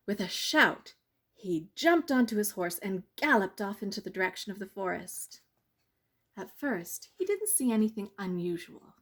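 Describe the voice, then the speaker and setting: A woman with a husky voice reads a picture-book story for children. Her pitch moves up and down in a lively way, and she takes her time, pausing for drama before key phrases. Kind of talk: expressive bedtime story reading for children.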